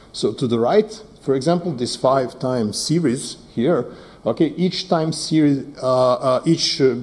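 A man's voice: mid-range voice, warm tone. A middle-aged man whose first language is Serbo-Croatian, giving a technical conference talk at a lectern microphone on a hall stage, often checking in with "right?". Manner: frequent fillers and "right?" tag questions. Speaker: conference presenter.

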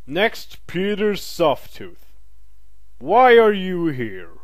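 A man playing a brisk, pragmatic military recruiter in an audio drama, matter-of-fact and wry. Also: high-pitched, huge pitch swings, dry recording, clean studio sound, full character voice.

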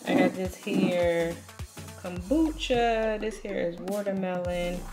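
A woman chatting casually through a grocery haul, speaking to viewers as y'all. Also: medium pitch. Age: adult, has a daughter. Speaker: vlogger.

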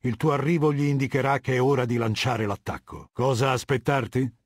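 A man playing a husky, imperious warlord. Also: mid-range voice, fairly even intonation, dry recording, clean studio sound, natural voice. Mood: cold, commanding. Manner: imperious, measured, lecturing, disdainful. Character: middle-aged male warlord dictator, cold, haughty and commanding. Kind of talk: video game character dialogue.

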